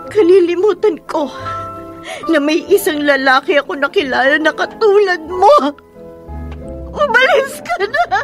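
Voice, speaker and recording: An actress playing a heartbroken, resolute young woman in a Filipino radio drama, speaking with deliberate, dramatic emotion. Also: extremely high pitch, lively intonation. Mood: tearful, angry. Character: heartbroken resolute young woman rejecting her former lover. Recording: music behind the voice.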